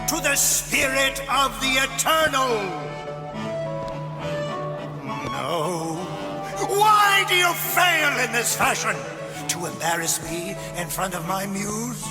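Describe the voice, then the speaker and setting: An actor playing a flamboyant, tyrannical artist and impresario in a game, theatrical and grandiose, lurching between extremes. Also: male voice, high voice, long reverb tail. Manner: theatrical, grandiose, abrupt swings from shouting to whispers. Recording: music under the voice.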